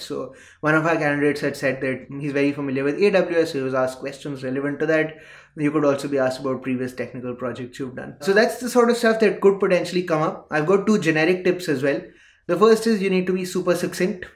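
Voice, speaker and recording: A young man presenting interview advice in an explainer delivered to camera. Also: on the high side. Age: young adult. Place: indoor room. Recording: roomy echo.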